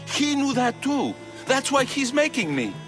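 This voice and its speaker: A man's voice: extremely high pitch, huge pitch swings, a little slow, exaggerated voice. An actor playing a defensive, ashamed old man in a game cutscene. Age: older. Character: guilty old male client, defensive and ashamed. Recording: game cutscene with loud music. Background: music.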